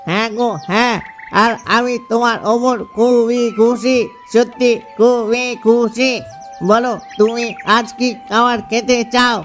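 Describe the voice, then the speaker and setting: A man's voice: very high voice, little pitch movement, measured pace, fairly bright tone, soft background music. A man voicing a devoted male duck, an earnest husband and expectant father, in a cartoon story. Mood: doting. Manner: earnest, doting.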